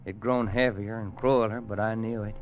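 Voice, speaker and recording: An actor playing an older, plainspoken cowboy who narrates a radio western in a folksy drawl with slow, dramatic pauses. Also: male voice, fairly low pitch, steady tone, soft background music, close to an everyday voice. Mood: tense, grave. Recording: muffled old-time radio recording.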